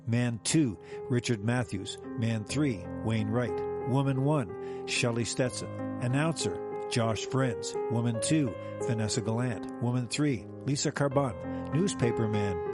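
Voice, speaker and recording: A man's voice: medium pitch, measured pace. A man reading scripted credits as an announcer. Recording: loud guitar music behind voice.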